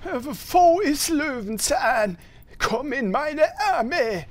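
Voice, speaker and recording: Dithering adventurer, high voice, extremely slow halting delivery, grand declamation, fantasy parody radio play. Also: animated, full character voice. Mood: flustered bravado. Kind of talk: fantasy parody audio drama acting.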